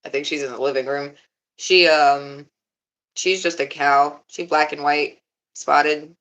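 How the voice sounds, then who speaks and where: A young woman chatting on a live stream, laid-back and giggly, with a deeper voice who swears freely. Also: steady tone, unhurried, fairly bright tone, poor audio. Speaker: livestreamer. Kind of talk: casual live-stream chat.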